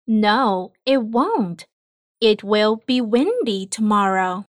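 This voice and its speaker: A woman reading an English listening test in a slow, carefully spaced delivery. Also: mid-range voice, animated, dry recording, studio quality. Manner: clear, carefully spaced words. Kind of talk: slow, deliberate exam reading.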